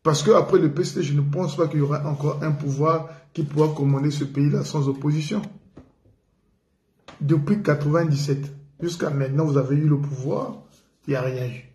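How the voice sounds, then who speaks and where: A man's voice: on the high side, steady tone, normal speed, warm tone, roomy sound. A man from the Republic of the Congo giving an indignant political commentary on community radio. He repeats himself for emphasis and speaks with feeling. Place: living in France.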